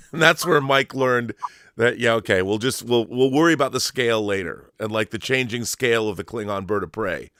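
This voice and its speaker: A man's voice: medium pitch, moderate pace, pushed and bright, no room sound, clean studio sound. A man hosting a casual interview, eager and fannish, jumping from one thought to the next. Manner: eager, fan-like, jumps between thoughts. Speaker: interview host. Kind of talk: casual chat.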